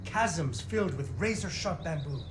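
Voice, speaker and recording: Flustered puppeteer dad played by a male actor, game storybook narrator, very lively pitch, mock-solemn, music clearly behind. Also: moderate pace, natural voice. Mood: playful storytelling. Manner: playfully dramatic, mock-solemn. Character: flustered puppeteer father telling a playful fairy tale.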